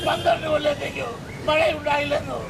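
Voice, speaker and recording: A fretful little crab asks worried, pleading questions in a high voice, as a man puts him on for a street puppet show. Crowd noise and traffic rumble behind him. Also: little pitch movement, fast, gravelly. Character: anxious young male crab.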